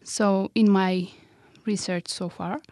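A woman with an Ethiopian accent, a research scholar introducing herself and her work in a measured, careful way. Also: mid-range voice, fairly even intonation, a little mellow, no echo, clean studio sound. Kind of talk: self-introduction about research.

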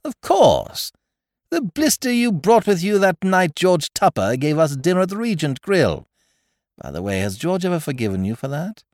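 Storybook novelist played by a male reader: biting, aggrieved, theatrically menacing, big swings in pitch. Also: big pitch swings, fast talker, few pauses, a little mellow, no room sound, lightly acted. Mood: indignant. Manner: indignant, biting, drily wordy.

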